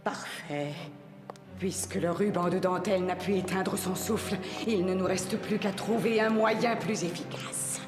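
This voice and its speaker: A woman's voice: medium pitch, unhurried, close to an everyday voice. Echoey, imperious evil queen played by a woman. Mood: scheming, self-soothing. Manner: theatrical, commanding, solemn. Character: vain, jealous evil queen, imperious and scheming. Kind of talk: French cartoon dub acting. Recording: echoey voice over background music.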